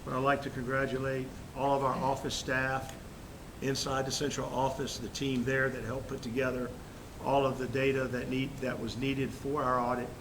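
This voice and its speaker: A man with a head cold giving a formal superintendent's report at a school board meeting, halting with fillers and restarts. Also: little pitch movement. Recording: noisy room recording with rumble.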